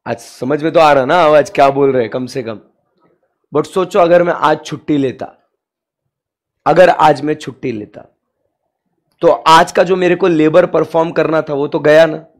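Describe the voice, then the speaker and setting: A young man teaches with a sore, hoarse throat in a high voice. He talks slowly and leans hard on drawn-out English phrases. He keeps checking in on the class with rhetorical questions. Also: steady tone. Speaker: commerce lecturer. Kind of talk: classroom lecture, mixing Hindi and English.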